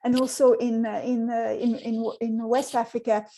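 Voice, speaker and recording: A woman's voice: on the high side, flat delivery, normal speed, mellow. A woman scientist whose first language is Swedish answers audience questions in a thoughtful way, with many restarts and fillers. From Sweden. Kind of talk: online Q&A answer.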